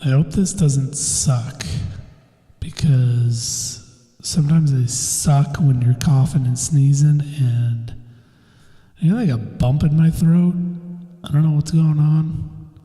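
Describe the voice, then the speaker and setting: A man hosting his own podcast, talking casually and slowly, a bit stuffed up, swearing now and then and laughing at himself. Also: a little high, fairly even intonation, mellow. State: stuffed up, slightly congested. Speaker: podcast host. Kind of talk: casual solo podcast rambling. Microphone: phone or computer mic, new recording software. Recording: echoey room with long reverb.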